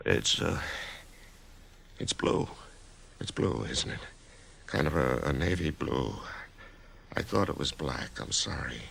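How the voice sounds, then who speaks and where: A stammering, frightened husband. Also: male voice, a bass voice, lively intonation, slow pace, gravelly, pauses often, fairly bright tone, slight background noise, full character voice. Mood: subdued. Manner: anxious, stammering, intense. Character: frightened dying husband, middle-aged man. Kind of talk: 1990s radio drama acting.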